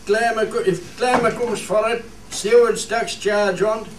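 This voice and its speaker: A man's voice: high-pitched, steady tone, a little slow. A man playing a hearty old shepherd who chairs a village meeting in a radio play, with a Cumbrian accent and a homely, rallying manner. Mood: businesslike, genial. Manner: homely, rallying, repeats phrases. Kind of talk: dialect radio play acting. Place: theatre studio. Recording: roomy, distant mic, faint noise.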